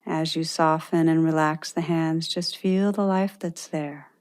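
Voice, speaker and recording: A woman meditation teacher leading a guided meditation with gentle, unhurried instructions and pauses. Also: low-pitched, fairly even intonation, clear, resonant tone.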